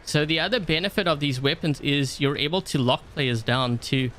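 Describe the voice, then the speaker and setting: A man's voice: a little high, moderate pace, fairly bright tone, a little hiss or hum. A man from South Africa commentating his own game live, chatting and thinking aloud with lots of fillers. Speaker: game streamer. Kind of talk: casual live-stream gameplay commentary.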